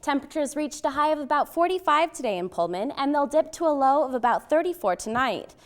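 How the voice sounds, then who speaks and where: A young woman with a somewhat high voice reads the news as a reporter and anchor. Her delivery is scripted and presentational, in the manner of a TV bulletin. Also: expressive, brisk.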